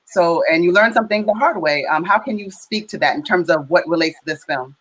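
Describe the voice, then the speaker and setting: An American woman with a fairly low voice co-hosts a film Q&A. She is chatty and playful, putting her questions in a casual, conversational way. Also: a little fast, slightly rough, rough recording. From Chicago, United States. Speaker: co-host interviewer.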